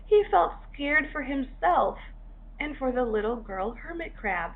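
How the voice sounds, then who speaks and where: A woman narrating a children's story expressively, in deliberate short phrases with dramatic pauses. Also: high voice, expressive. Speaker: author reading own story. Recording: dull lo-fi recording, faint noise.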